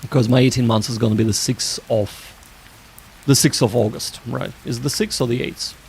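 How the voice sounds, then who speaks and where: A man hosting a study livestream, chatting casually in a halting, hesitant way. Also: mid-range voice, steady tone, vocal fry, a little hiss or hum.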